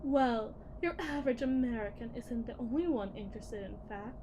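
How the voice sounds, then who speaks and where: Condescending, menacing female corporate technician woman acting, high-pitched, unhurried, theatrical ASMR roleplay delivery over faint noise. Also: close to an everyday voice. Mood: conspiratorial, proud. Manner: theatrical, patronizing, deliberate with dramatic pauses. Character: condescending, menacing female lead technician at shady robot company. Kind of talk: scripted ASMR roleplay monologue.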